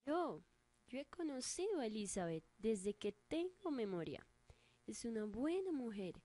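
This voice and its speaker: A woman's voice: fairly high pitch, sing-song, unhurried, mellow, no room sound. A woman playing a kindly, earnest old village woman who defends her friend in a radio drama. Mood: earnest, protective.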